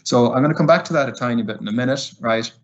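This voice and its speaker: A man's voice: medium pitch, racing. A middle-aged man giving a conversational webinar presentation as a community liaison, often ending points with "right?" and sprinkling in fillers. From Ireland. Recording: muffled lo-fi webinar audio.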